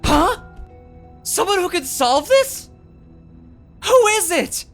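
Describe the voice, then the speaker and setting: A woman acts out an earnest young police rookie from an anime dub. The voice sits high and swings widely in pitch, excitable and easily flustered, blurting every thought. Light music runs underneath. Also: takes it slowly, exaggerated voice. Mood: startled. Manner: excitable, thinks out loud, blurts things.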